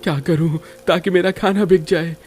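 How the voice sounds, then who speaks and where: A man's voice: sits high, fairly even intonation, moderate pace, husky. A man playing a worried young husband and struggling street-food seller in a cartoon, earnest and plaintive. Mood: troubled. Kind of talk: Hindi cartoon story dialogue.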